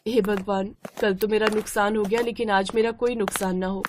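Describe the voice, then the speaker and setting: A woman's voice: mid-range voice, fairly even intonation, moderate pace, lightly acted. A woman voicing an earnest, poor, hardworking mother who sells snacks in a cartoon story, speaking expressively. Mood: anxious lament. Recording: heavy noise behind the voice.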